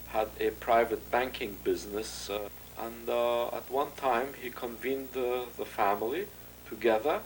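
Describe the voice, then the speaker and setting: An older man giving a measured, recalled testimony as an interviewee, with frequent pauses and filler sounds. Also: medium pitch, a little hiss or hum, low-quality recording.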